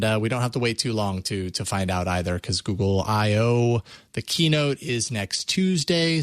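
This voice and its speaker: A man hosting a tech podcast in a casual, conversational way, with halting phrasing. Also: on the low side, a bit bright, no room sound, studio quality. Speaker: podcast host.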